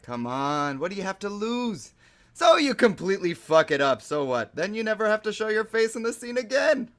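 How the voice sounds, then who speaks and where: Man acting as cocky stage magician, bright forceful voice, brash, teasing, persuasive, easygoing, conversational table-read drama. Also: sits high, very animated, normal speed. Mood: breezy, persuasive.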